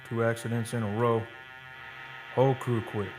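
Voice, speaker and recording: A man's voice: medium pitch, little pitch movement, moderate pace, lightly acted, low-quality recording. Man acting a haunted, folksy oil-rig storyteller, music behind. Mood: haunted, grim. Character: shaken oil-rig worker recounting eerie deaths, defensive under questioning. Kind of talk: radio drama first-person narration.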